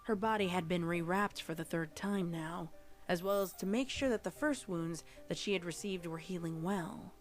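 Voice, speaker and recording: A woman's voice: on the low side, moderate pace, faint music behind. A woman narrating a story in a scripted fan fiction reading.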